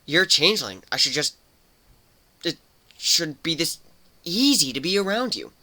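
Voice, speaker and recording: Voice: mid-range voice, big pitch swings, normal speed, sharp tone, pitched above the performer's own voice, heavily acted. A performer voicing a nervous, earnest male pegasus pony who stammers and hesitates in a dramatic story reading. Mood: accusing, uneasy. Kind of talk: dramatic fan fiction reading.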